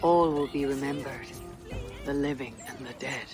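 A woman's voice: a bass voice, moderate pace, over music. A woman playing a stoic, hardened Viking warrior in a video game, terse, stern and guarded. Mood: grave counsel. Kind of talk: dramatic video game acting.

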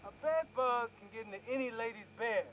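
An actor playing a defensive young street gangster, a grandson, in a video game, pleading in slangy speech. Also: male voice, very high-pitched, fairly quick, fairly bright tone, a little hiss or hum, low-quality recording. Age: young adult. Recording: music behind the voice.

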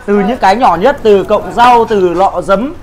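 A man's voice: high-pitched, measured pace. A man presenting his restaurant's dishes to friends in a casual food vlog, chatty and explaining as he goes. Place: busy restaurant kitchen, indoors. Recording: crowd chatter in a kitchen. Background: crowd talking.

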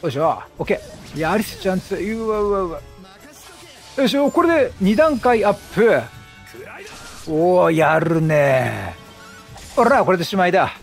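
A man doing chatty game commentary, animated, talking to himself and to the characters on screen. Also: sits high, moderate pace, vocal fry, pauses often, soft background music. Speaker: gameplay commentator.

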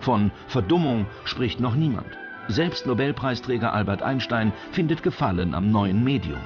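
A man's voice: mid-range voice, expressive, normal speed, muffled recording, over music. A man narrating a documentary from a script.

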